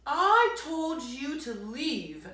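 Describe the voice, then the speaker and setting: Grumpy male storybook bear, performed by a woman: exasperated, emphatic outbursts that leap high, echoey room. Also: big pitch swings, extremely slow, pushed and bright, a little hiss or hum, heavily acted. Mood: stern, annoyed.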